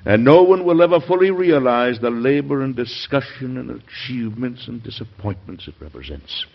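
Earnest, animated American president, old radio. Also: male voice, mid-range voice, moderate pace, creaky. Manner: earnest, emphatic oratory. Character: weary, idealistic, stubborn American president. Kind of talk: classic American radio drama acting. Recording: dull, band-limited old radio recording.

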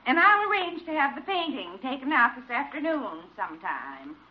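High-pitched, earnest hospital staffer, muffled radio. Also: female voice, sing-song, normal speed, background noise. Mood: meek, wounded. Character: earnest, fussy female hospital staffer, sweetly stubborn. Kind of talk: 1940s radio drama acting. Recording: muffled old radio recording.

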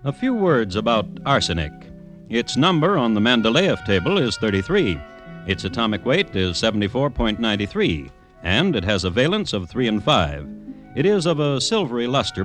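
A man's voice: on the low side, very animated, fairly quick, fairly bright tone, soft background music. A man hosting and narrating an old radio crime drama in a dry, precise and ironic way. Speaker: host narrator.

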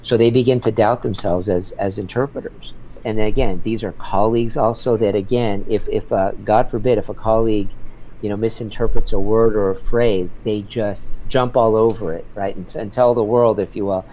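A man's voice: lowish voice, steady tone, normal speed, dull audio, a little hiss or hum. A man working as an interpreter, talking earnestly in a casual conversation and often ending sentences with "right?".

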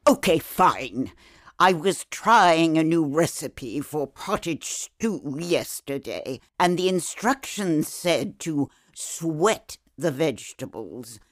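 Woman playing dry medieval witch, low voice, lively intonation, unhurried, haughty, comic fantasy podcast. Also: no room sound. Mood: dry, matter-of-fact. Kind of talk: scripted comic fantasy banter.

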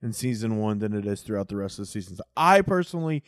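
A man's voice: fairly low pitch, big pitch swings, fast talker, no room sound, clean studio sound. A man co-hosting a podcast in casual chat, opinionated and emphatic, swearing freely. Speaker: podcast co-host.

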